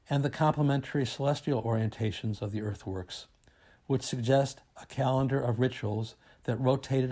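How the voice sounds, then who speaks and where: A man reading a scripted academic presentation aloud in a formal, measured and deliberate manner. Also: medium pitch, warm tone. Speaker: academic researcher presenting a paper.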